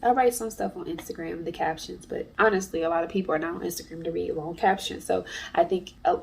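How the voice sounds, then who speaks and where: A woman's voice: mid-range voice, vocal fry, warm tone, distant mic, a little hiss or hum, poor audio. A congested woman, an artist and small business owner, talking in a chatty, earnest way to camera in a casual vlog. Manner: chatty and earnest, many fillers. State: congested from allergies.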